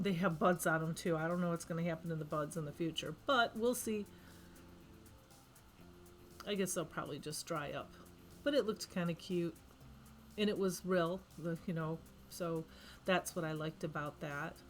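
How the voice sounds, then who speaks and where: Woman, a bit low, slightly hoarse from a cold, casual craft voice-over with soft music behind. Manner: chatty, rambling, self-correcting with frequent ums. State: losing her voice from a cold. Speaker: craft vlogger.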